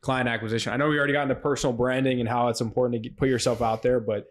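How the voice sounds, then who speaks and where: A man co-hosting a podcast, asking long, winding questions in a relaxed, casual way. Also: medium pitch, racing. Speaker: podcast co-host, interviewer.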